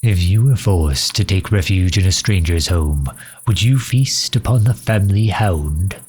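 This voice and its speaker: Man voicing a low, husky, courteous vampire leader. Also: measured pace, darkish tone. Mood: earnest, reassuring. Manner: formal, polite, old-fashioned diplomatic phrasing. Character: courteous, earnest male vampire leader pleading for refuge. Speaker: voice actor in an audio roleplay. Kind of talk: scripted ASMR roleplay, addressing the listener.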